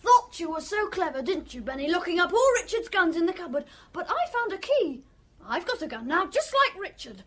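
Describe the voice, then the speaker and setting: A woman's voice: extremely high pitch, very animated, a little fast. A woman playing a childlike, unstable and boastful young man in a radio drama, with a taunting manner. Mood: taunting, menacing.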